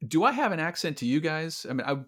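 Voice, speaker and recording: A man with a flat Midwestern American accent, hosting a casual show and interviewing in a friendly, easygoing way. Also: a little high, fast talker. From Iowa. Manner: friendly, easygoing, uses fillers. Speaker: podcast host.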